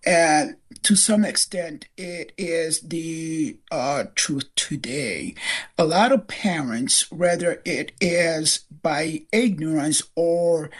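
Older woman guest author, husky and unhurried, hesitant emotional delivery. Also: deep voice. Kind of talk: personal interview.

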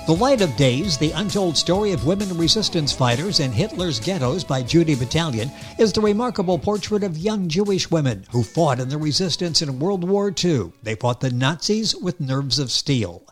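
A man's voice: a little high, lively intonation, normal speed, hardly any pauses. Male talk radio host, emphatic read, faint music under voice. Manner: emphatic announcer delivery. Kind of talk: radio interview show.

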